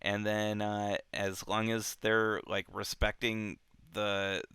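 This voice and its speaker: A man casually discussing a book as a show host, thinking aloud in halting, repetitive phrases. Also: lowish voice, level tone, pushed and bright, smooth, no echo.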